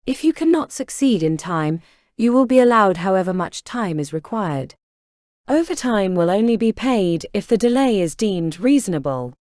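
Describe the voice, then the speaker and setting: A woman playing a calm, businesslike corporate recruiter in an audiobook, speaking smoothly and unbothered. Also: medium pitch, moderate pace, no room sound, clean studio sound, lightly acted. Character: calm female corporate recruiter, coolly casual. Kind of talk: audiobook character reading.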